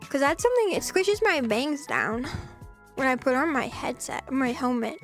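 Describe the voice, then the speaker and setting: A young woman streamer chatting casually with viewers in a soft, giggly voice, her throat a little scratchy. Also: high-pitched. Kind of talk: casual chat.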